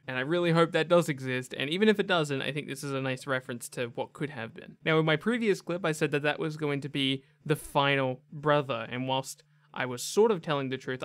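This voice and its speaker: A man's voice: mid-range voice, fairly bright tone. A young man hosting a gaming video with casual, enthusiastic, slangy commentary. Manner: chatty, slangy, effusive.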